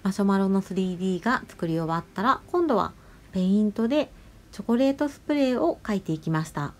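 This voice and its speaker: A woman nail artist narrating a tutorial voice-over, explaining each step politely and giving gentle advice. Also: mid-range voice, animated, quick pace. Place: voice-over over close-up salon footage. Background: music.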